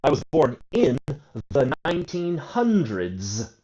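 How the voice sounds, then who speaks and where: A man's voice: mid-range voice, huge pitch swings, slow, warm tone. A man in his forties teaching an English grammar lesson, asking and answering his own questions and drawing out key words. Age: 40s. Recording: dull lo-fi recording.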